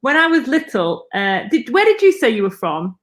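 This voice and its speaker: A woman's voice: a little high, sing-song, normal speed, over a noisy crowd. Chatty British woman in her forties. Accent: British English. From England. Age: 40s. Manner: chatty, laughs easily. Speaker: guest, nurse. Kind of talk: casual interview.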